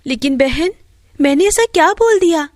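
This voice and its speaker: A woman's voice: high-pitched, expressive, moderate pace, no room sound, studio quality. A woman voicing a meek, mistreated young daughter, innocent and pleading, in a storybook tale.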